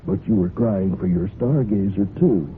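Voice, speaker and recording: An actor playing an older, paternal judge in a vintage radio drama, deliberate and gravely persistent as he admonishes. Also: sits low, lightly acted. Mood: reproving. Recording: muffled, noisy old radio broadcast recording.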